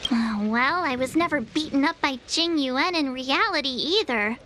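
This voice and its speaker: A young woman voicing a bubbly, easily flustered girl adventurer in a video game, expressive and cutesy. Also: high voice, normal speed, slight background noise. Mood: chatty, unsure. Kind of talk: video game character dialogue. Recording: game music behind voice. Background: game music.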